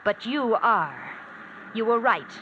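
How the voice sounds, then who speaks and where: An actress playing a cold, teasing wife with murder in mind, coaxing and mocking in old-time radio mystery style. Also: female voice, fairly high pitch, big pitch swings, takes it slowly, lo-fi sound. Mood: coldly gloating. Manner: mocking, coaxing, calls husband dear and darling. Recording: vintage radio drama with background noise.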